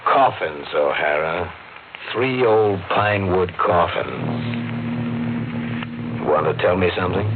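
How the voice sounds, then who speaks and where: A man's voice: sits low, slow, pauses often, noisy background. An actor playing a blunt, knowing local working man in a 1950s radio drama, with an ominous manner. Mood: ominous, accusing. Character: blunt, knowing country depot man and diner owner. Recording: tinny old radio broadcast, music behind.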